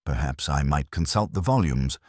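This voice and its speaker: Guy reading as a deep detective inspector, courteous, dry irony, bit husky, storybook mystery. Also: lively intonation, dry recording. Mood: politely probing. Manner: polite, deliberate, drily ironic. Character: dry, courteous, observant male police inspector.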